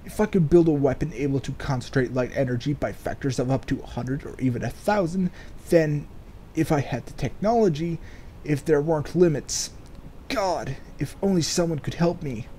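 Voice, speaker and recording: A man's voice: a little high, normal speed, mellow, slight background noise. A man hosting a let's play, with rambling, casual commentary and stretches of game text read aloud. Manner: rambling, speculative, sweary.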